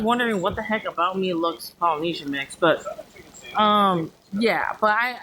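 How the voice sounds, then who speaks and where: A woman's voice: sits low, low-quality recording. A woman with an American West Coast accent touched by Hawaiian island speech, chatting casually and meandering in a self-deprecating way to social media. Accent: American West Coast with Hawaiian island influence. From Hawaii, California and American Samoa, US. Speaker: vlogger.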